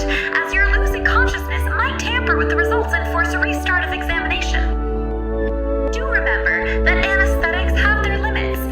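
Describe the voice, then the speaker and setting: A polite female ship computer in a radio drama. The very high, lilting voice echoes over loud music, soothing and deadpan with an unnerving cheer. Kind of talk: scripted announcement in audio drama.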